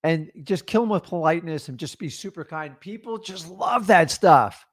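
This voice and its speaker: A man's voice: a little high, lively intonation, moderate pace, dry recording, studio quality. A middle-aged man coaching on real estate deals, talking in an emphatic, motivational, direct way. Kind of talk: energetic coaching talk.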